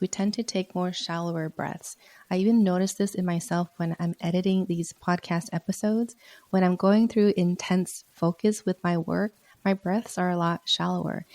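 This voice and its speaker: A woman hosts her own podcast in an earnest, encouraging manner, her low voice mellow and a little hoarse from a sore throat. The sound is dry and close, with no room echo. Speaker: podcast host. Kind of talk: solo podcast talk.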